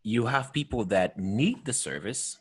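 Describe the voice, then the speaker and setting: A man's voice: mid-range voice, lively intonation, measured pace, slightly rough. A man in his thirties from Cameroon, hosting a video call chat with an earnest, emphatic manner and frequent restarts. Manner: earnest, emphatic, many restarts and repeated words. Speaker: host. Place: home room with bookshelves. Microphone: headset with desk microphone.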